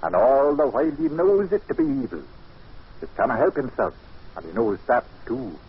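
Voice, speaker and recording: Swooping, ominous manservant played by an actor. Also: male voice, mid-range voice, big pitch swings, normal speed, close to an everyday voice. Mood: grave, foreboding. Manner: deferential, ominous, cryptic. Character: grave, foreboding Scottish male servant McJobson. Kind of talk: old time radio drama acting. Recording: dull, noisy old radio recording.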